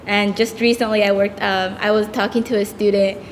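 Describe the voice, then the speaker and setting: A woman's voice: medium pitch, flat delivery, slight background noise. Spanish-native lady on a panel, earnest, long hall reverb. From El Salvador. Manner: earnest, pauses with fillers. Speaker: tutor on a panel. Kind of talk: conference panel talk.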